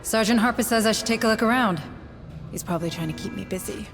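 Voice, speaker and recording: A woman's voice: medium pitch, fast talker, faint music behind, natural voice. A woman playing a composed, determined colony administrator in a video game cutscene. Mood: businesslike.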